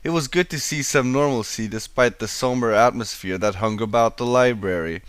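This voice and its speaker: A man's voice: medium pitch, moderate pace, smooth, dry recording. A man narrating a scripted story as an amateur fan fiction reader. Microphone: home microphone.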